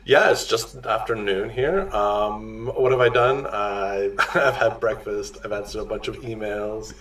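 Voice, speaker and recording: A relaxed young man from Canada talks casually over a video call, his voice sitting fairly low. He speaks in a friendly way with plenty of small fillers. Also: measured pace. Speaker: guest improviser.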